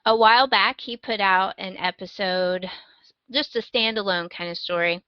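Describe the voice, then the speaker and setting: A woman's voice: mid-range voice, normal speed, no room sound, lo-fi sound. A woman co-hosting a podcast, chatting casually in a conversational, wry and emphatic way as she gives recommendations. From United States. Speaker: podcast co-host.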